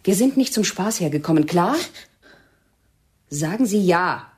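Female militant interrogator, rough-edged husky voice, commanding, mocking, radio play. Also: lowish voice, animated, unhurried, a little mellow. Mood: harsh, commanding. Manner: stern, mocking, imperious. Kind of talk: radio drama acting.

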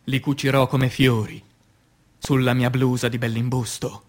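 A man reciting poetry as radio theatre in speech, declamatory, with dramatic pauses, moving between hushed and emphatic delivery. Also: medium pitch, level tone. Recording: music under the voice. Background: music.

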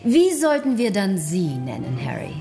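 Animated, flirtatious duchess. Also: female voice, mid-range voice, normal speed, vocal fry, soft background music. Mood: playful. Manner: poised, bantering. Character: pretty, quick-witted, flirtatious aristocratic duchess. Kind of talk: radio drama acting.

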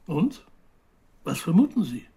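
A very raspy, creaky-voiced journalist in a radio crime drama. He speaks a little high for a man, guarded and touchy, as if always on the defensive. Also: big pitch swings, unhurried, dark tone, heavily acted. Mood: defensive, irritated. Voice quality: gravelly, husky. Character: defensive male journalist under questioning. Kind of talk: naturalistic German radio crime drama acting.

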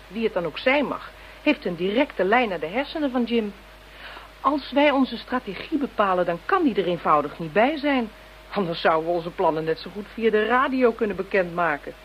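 A woman's voice: mid-range voice, expressive, normal speed, close to an everyday voice. An actress playing a direct, confident female police inspector in a Dutch radio drama. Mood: explanatory. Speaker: voice actor. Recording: muffled, noisy old radio drama recording.

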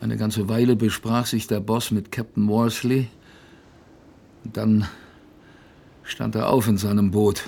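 An actor playing a haunted, plainspoken expedition survivor who recounts hardship in dramatic, halting audio drama narration. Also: male voice, lowish voice, level tone, creaky, mellow, slightly rough, full character voice. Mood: sober. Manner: dramatic, halting with emphatic repetition.

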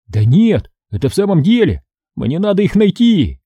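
A man reading a storybook as an excitable, indignant boy who pleads urgently. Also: high voice, moderate pace, breathy edge, no room sound, voice pushed up, full character voice.

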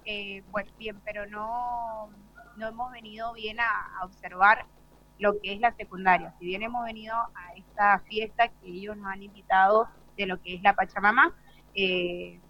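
A woman answering as a school director in halting, hesitant phrases. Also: medium pitch, steady tone. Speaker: primary school director being interviewed. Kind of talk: spontaneous spoken interview. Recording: dry, dull lo-fi broadcast.

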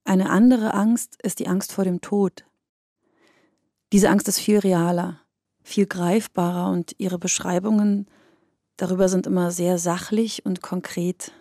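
A woman narrating a personal audio piece in an intimate, reflective, measured manner, from a script. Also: on the low side, fairly even intonation, mellow, no room sound, studio quality.

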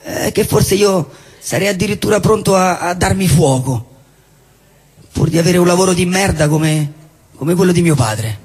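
A man acting a disillusioned, jobless character, blunt yet wistful, in halting stage dialogue with repeated phrases and a husky voice. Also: high voice, lively intonation, darkish tone. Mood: bitter. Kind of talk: theatrical stage dialogue and monologue. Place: theatre hall, live show. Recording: echoey hall, live stage recording.

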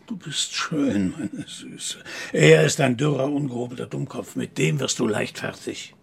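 An actor playing a menacing, manipulative grandfather in a radio crime drama, speaking deliberately, coaxing and then commanding, with a rough, husky voice. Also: medium pitch, heavily acted. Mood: coaxing, scornful. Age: older. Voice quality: husky, gravelly. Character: menacing, manipulative grandfather, a cold zealot preaching purity.

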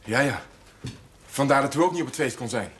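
A man's voice: medium pitch, expressive, a little fast, fairly bright tone, close to an everyday voice. Man playing an earnest, hesitant young farmhand. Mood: polite, reserved. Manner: hesitant, apologetic. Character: earnest, awkward young farmhand asking for his job back. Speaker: actor in a television drama. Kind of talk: naturalistic television drama dialogue.

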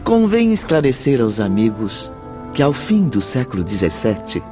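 A man playing a solemn, instructive narrator of a spiritual radio drama, with formal, measured phrasing. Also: mid-range voice, wildly swooping pitch, music in the background.

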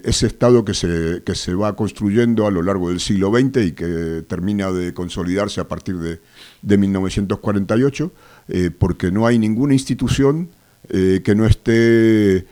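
A man from Argentina with an Argentine accent, a guest on a radio show, speaking with a cold in a measured, hesitant way, full of fillers. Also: deep voice, mellow. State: has a cold. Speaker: activist guest. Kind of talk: radio interview. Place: radio studio.

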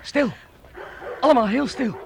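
A brave young wanderer in a radio drama. His voice is high for a man, terse and stubborn, with plain noise behind it. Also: a little slow, creaky, heavily acted. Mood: determined.

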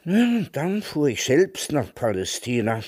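An actor in a radio drama playing an old, retired emigrant veteran who speaks in a measured, wry, reminiscing way. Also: male voice, fairly high pitch, big pitch swings, darkish tone, husky, dry recording, studio quality, heavily acted. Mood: wistful, matter-of-fact. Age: older. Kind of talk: German radio drama acting.

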